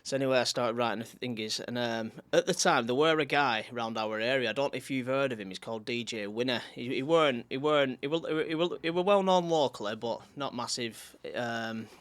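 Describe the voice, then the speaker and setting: Rambling northern English bloke telling club stories. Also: male voice, mid-range voice, a little fast, hardly any pauses, fairly bright tone. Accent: Lancashire. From Northern England. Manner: rambling, repeats words, drops back into the story with "so anyway". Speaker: podcast guest, club MC. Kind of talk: casual storytelling interview.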